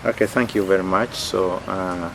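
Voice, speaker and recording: A man in his sixties from Uganda, a missionary priest answering interview questions at an easy pace, with frequent fillers and quiet chuckles. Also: low-pitched, fairly even intonation, moderate pace, gravelly, noisy background. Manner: hesitant, many fillers, chuckles often.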